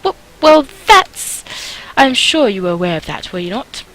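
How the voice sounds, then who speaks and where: Haughty society lady, played by a woman: affronted, theatrical, high and very animated, for a gothic romance game. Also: normal speed, low-quality recording. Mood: flustered. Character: snobbish, shrill, indignant French society ladies.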